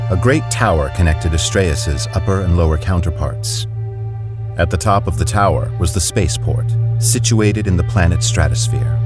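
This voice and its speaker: A male narrator with a very low, deep voice reads scripted drama narration in a measured, descriptive manner. Music plays clearly underneath him.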